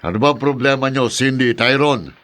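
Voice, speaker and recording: An actor with a Filipino accent playing a stern, quick-tempered middle-aged father, gruff and scolding, in a podcast drama. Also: mid-range voice, fairly bright tone, close to an everyday voice. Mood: stern, suspicious.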